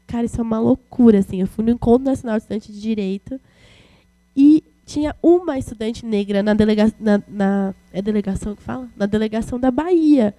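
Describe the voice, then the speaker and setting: A woman's voice: on the high side, a little fast, dark tone. A twenty-year-old woman, giving an informal talk as a student activist, colloquial and slangy as she answers questions. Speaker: guest speaker, student activist.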